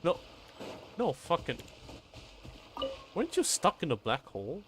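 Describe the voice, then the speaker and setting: A man's voice: on the high side, wildly swooping pitch, unhurried, background noise. Casual male game streamer, bantering. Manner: bantering, swears freely. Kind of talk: casual live-stream commentary. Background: game music.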